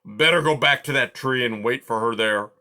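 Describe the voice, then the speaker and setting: A man voicing a grumpy, complaining male porcupine who snarls and shouts in loud outbursts. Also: medium pitch, moderate pace. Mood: grumbling.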